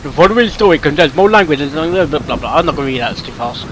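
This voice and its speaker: A man with a fairly high voice hosts a reaction video, chatting casually as he comments along. There is faint noise behind him. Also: expressive, fast, fairly bright tone. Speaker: host of a reaction channel.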